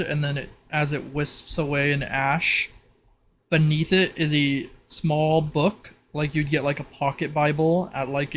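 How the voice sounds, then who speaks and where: A man acting as game master, improvising narration for a tabletop roleplay in a halting, think-aloud manner. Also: fairly high pitch, fairly bright tone, a little hiss or hum.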